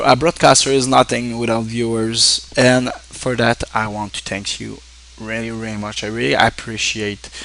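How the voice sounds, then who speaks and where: A man from Quebec whose first language is French, speaking English with a Québécois French accent, talking casually to his viewers in a halting way with many fillers and restarts. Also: mid-range voice, vocal fry, a bit bright, rough recording. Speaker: streamer. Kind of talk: casual thank-you talk to viewers.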